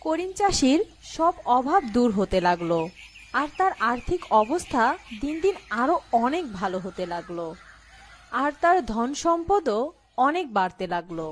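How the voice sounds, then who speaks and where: A woman narrating a scripted children's moral story in an expressive way. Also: high voice, moderate pace, a little hiss or hum.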